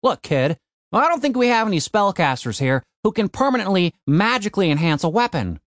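A man's voice: sits high, very animated, fairly quick, no echo, studio quality, pitched above the performer's own voice. A man voicing a blunt, sarcastic, cynical male boss in an audiobook story. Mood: dismissive. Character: gruff, cynical, foul-mouthed male boss, exasperated.

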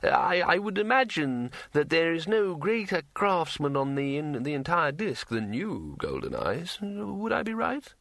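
A man voicing an effete, sly astronomer ruler in an audiobook, with hushed, drawn-out, stammering speech. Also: a little high, very animated, vocal fry, no room sound, studio quality, voice pushed up, heavily acted. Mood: silky, probing. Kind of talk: audiobook character voice.

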